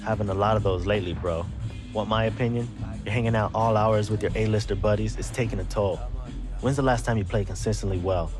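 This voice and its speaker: Casting: man as needling male athlete, low-leaning voice, taunting and blunt, game cutscene with clear music behind. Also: brisk, close to an everyday voice. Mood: mocking. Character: blunt, needling male footballer teammate confronting a slacker. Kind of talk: scripted video game acting.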